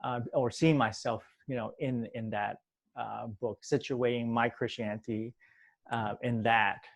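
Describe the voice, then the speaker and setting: A middle-aged man born in Vietnam, a theologian talking as a podcast guest in a halting way, with many fillers and restarts. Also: mid-range voice, steady tone, gravelly. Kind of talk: casual interview talk.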